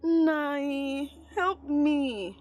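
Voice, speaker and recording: Folktale role: jealous young village maiden, scheming and emotive, high voice, acted by a female narrator, music behind. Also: extremely slow, a little mellow. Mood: tearful, pleading.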